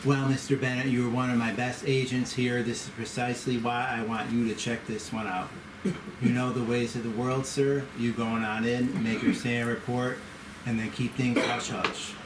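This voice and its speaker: An elderly Southern gentleman boss, acted by a man at a table read, courteous and folksy with a conspiratorial streak. He drawls at a middling pitch in an echoey room with clear background noise. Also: little pitch movement, normal speed, natural voice. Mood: earnest, hushed dealings. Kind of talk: amateur screenplay table read.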